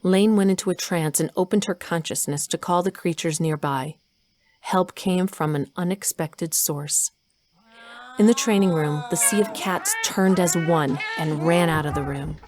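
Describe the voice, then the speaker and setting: Female narrator with a lowish voice telling a scripted story over noisy ambient effects. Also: measured pace.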